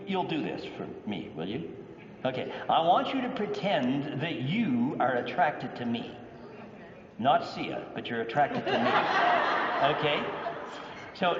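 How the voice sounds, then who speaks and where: A man with a Canadian accent addresses an expo crowd in a playful, teasing way, and his voice sits a little high. The sound is dull, as if caught from far off in a big echoing hall. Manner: playful, teasing audience interaction. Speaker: business speaker. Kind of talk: live motivational talk. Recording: echoey hall, distant mic, dull sound.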